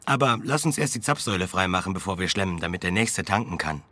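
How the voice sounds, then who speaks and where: Easygoing dad, noisy background. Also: male voice, low voice, lively intonation, quick pace. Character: easygoing family dad on a car trip. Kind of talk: children's audio drama acting.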